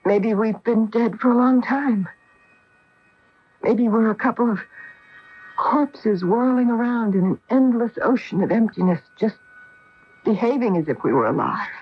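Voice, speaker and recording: Casting: fearful, insistent female astronaut in vintage radio drama, earnest and husky, muffled AM broadcast sound. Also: a little high, lively intonation, measured pace, long pauses. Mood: anxious, relieved. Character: anxious, insistent woman astronaut, a wife. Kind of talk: 1980 radio drama acting.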